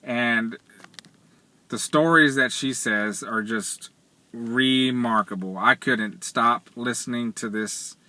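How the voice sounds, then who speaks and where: A middle-aged man retelling a story in casual, measured, halting speech, sitting in a car. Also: mid-range voice, sharp tone, rough recording. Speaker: vlogger. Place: inside a parked car. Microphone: camera or phone at arm's length.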